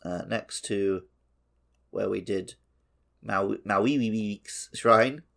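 A man's voice: on the low side, drawn-out words, creaky, a bit bright. A man co-hosting a podcast, talking casually through a video game. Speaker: podcast co-host.

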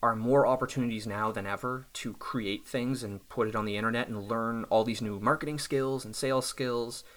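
A man in his thirties, a self-employed software developer, talking casually and thoughtfully about his work, often restarting his sentences. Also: mid-range voice, steady tone, moderate pace. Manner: thoughtful, reflective, with restarts and small laughs. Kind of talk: casual podcast conversation.

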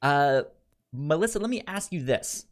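A man co-hosting a casual podcast chat, talking informally and haltingly, with a stutter that repeats sounds and syllables. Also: on the high side, animated. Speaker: podcast co-host.

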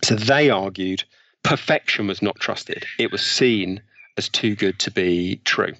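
A man's voice: fairly low pitch, measured pace, pushed and bright, muffled recording. A man, a book author and podcast guest, explaining research studies in a conversational way and often saying "you know".

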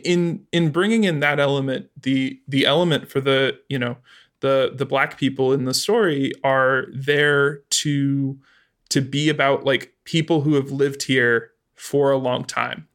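Man, mid-pitched, interview guest, conversational and halting delivery with repeated words. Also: fairly bright tone. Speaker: audio drama adapter being interviewed.

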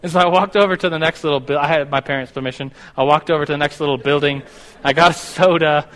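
Guy in his 30s teaching bible class, kinda high voice, rambles and restarts a lot, big hall echo. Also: steady tone, fast, fairly bright tone. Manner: rambling, self-correcting, stumbles over words. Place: classroom with a board. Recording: long hall reverb.

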